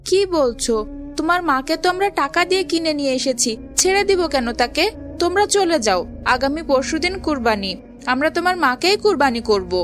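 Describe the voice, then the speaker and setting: A woman's voice: high voice, animated, moderate pace, fairly bright tone, faint music behind. A woman playing a curious, stubborn young boy in a children's cartoon, with an earnest, childlike manner. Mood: stern annoyance.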